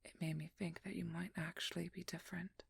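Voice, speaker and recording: A woman playing a determined, wounded vampire hunter in an ASMR roleplay, with a breathy, husky voice that often drops near a whisper and an intimate, intense delivery. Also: lowish voice, little pitch movement, a little fast, dry recording, exaggerated voice. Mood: betrayed, sorrowful. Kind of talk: ASMR roleplay audio drama.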